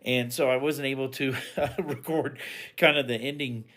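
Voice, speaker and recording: A man giving a casual, unscripted, matter-of-fact explanation as a hobby radio vlogger, speaking haltingly. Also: medium pitch, steady tone, a bit bright.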